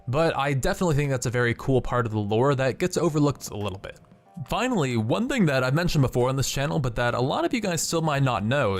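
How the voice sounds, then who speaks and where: A man hosting a video game channel, reading scripted narration. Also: mid-range voice. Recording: background music under voice. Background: music.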